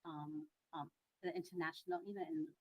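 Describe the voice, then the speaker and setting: Halting low-voiced woman from Hong Kong. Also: flat delivery, no echo, rough recording. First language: Tagalog. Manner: halting, searching for words. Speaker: guest, congregation secretary. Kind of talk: casual conversational storytelling.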